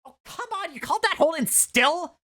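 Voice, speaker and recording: A woman's voice: very high-pitched, lively intonation, normal speed, sharp tone, voice pushed up, heavily acted. A woman voicing an eccentric, meddling female stylist with a put-on New York accent. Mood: brassy scheming. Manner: scheming, sing-song, slangy.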